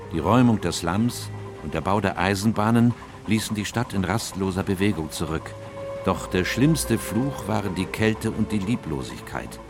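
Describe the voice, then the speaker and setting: An actor playing a grave, compassionate gentleman in a radio drama, narrating in a measured, solemn, literary manner. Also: low-pitched. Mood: solemn, sorrowful.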